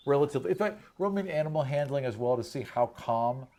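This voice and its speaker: A man with a mid-pitched voice runs a tabletop fantasy game as game master. He improvises his descriptions in a conversational way, halting and rephrasing, and slips between narration and casual chat. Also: expressive, a little mellow.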